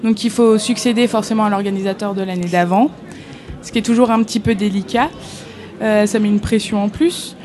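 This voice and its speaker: A woman's voice: medium pitch, brisk, darkish tone. A woman guest chatting casually at a live convention recording, explaining with many fillers and laughing often. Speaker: guest, event organizer. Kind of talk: live podcast chat. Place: convention hall, live audience.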